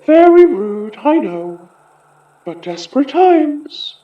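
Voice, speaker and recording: High, slow, echoing menacing female spirit. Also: sing-song, far from the mic, lo-fi sound, heavily acted. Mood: mocking. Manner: theatrical, taunting, polite menace. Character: possessing spirit, a polished, menacing, condescending sorceress. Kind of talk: audio drama acting.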